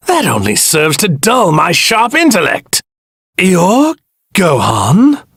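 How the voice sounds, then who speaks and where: A man voicing an arrogant, evil god in a stolen warrior body for an anime game, pompous, theatrical and menacing, with a husky, gravelly voice. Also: medium pitch, a bit bright, no room sound, studio quality, full character voice. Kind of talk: anime video game voice lines.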